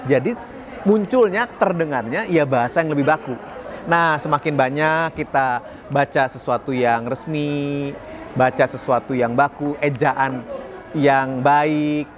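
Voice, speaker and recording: A man talks to camera as a public speaking coach, upbeat and chatty, laughing now and then at his own slips. His voice sits a little high for a man. A clear murmur of people carries behind him outdoors in the city. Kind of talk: motivational talk to camera.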